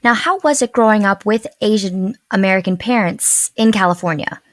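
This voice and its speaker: A woman's voice: medium pitch, normal speed, no room sound. A woman hosting a podcast, introducing and questioning a guest in a welcoming way. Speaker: podcast host. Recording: dry with faint music.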